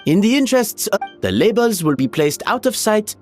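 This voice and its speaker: A theatrical contest announcer from a video game voiced by an actor. The delivery is showy and flowery, rising and falling widely over clear background music. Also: medium pitch, big pitch swings, moderate pace, natural voice. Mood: grand. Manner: grandiose, showy. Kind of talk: video game acting, showy event commentary.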